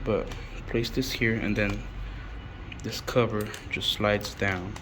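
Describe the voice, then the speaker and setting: A man giving a casual, unscripted hands-on installation tutorial, speaking in a halting way with frequent pauses mid-sentence. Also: fairly low pitch, steady tone. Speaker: installer presenting a how-to. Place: on an installation job site. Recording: clearly noisy on-site recording.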